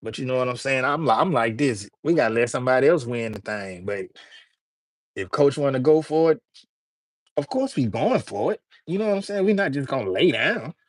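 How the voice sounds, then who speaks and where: A man's voice: mid-range voice, animated, a little fast, no echo. Guy rambling to his live chat, loose slangy talk, dry close sound. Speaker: livestream host. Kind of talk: casual livestream chat with viewers.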